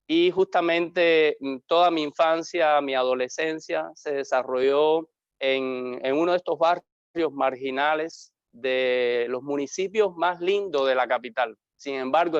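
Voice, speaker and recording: A man's voice: on the high side, smooth, no echo, lo-fi sound. Earnest Cuban pastor sharing his testimony. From Cuba. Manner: earnest, reflective storytelling with pauses. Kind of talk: interview, personal testimony.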